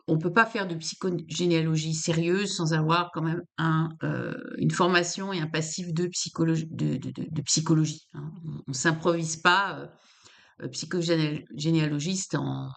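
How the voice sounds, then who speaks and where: A woman with a fairly low-pitched voice explains psychology in an informal talk to camera. She speaks hesitantly, with many fillers, repeats words, and restarts her sentences. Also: vocal fry. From France.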